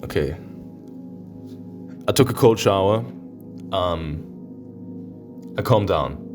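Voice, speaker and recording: A man's voice: low voice, expressive, slow, over music. A man doing let's play commentary on a visual novel, with theatrical, exclamatory, sweary reactions. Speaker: streamer playing a visual novel.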